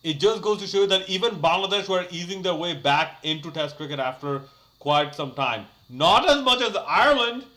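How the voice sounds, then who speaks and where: A young man hosts his own cricket review channel and talks off the cuff. His voice sits a little high and rings bright. He rambles, restarts sentences and fills gaps with "uh" and "like". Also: expressive, moderate pace. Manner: chatty, rambling, many fillers and restarts. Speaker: cricket YouTuber. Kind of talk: casual solo match review.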